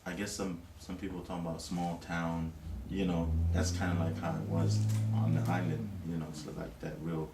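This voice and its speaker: Deep-voiced man, reflective and unhurried. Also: level tone, roomy sound, distant mic, very noisy. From Tonga. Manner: thoughtful, many "you know" fillers. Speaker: interviewee. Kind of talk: reflective casual talk.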